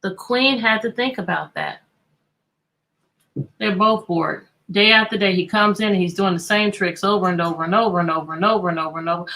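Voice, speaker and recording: A woman's voice: medium pitch, brisk, sharp tone. A woman teacher hosting an interactive children's read-aloud, telling the story expressively and putting repeated questions to her listeners.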